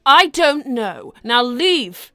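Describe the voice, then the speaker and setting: A proud cat princess, played by a woman in live roleplay, with a high voice and curt, formal, scolding delivery. She sounds stern and wounded, recorded dry over faint music. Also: very animated, drawn-out words, pushed and bright, no echo, full character voice. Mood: angry, wounded. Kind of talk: improvised live character roleplay.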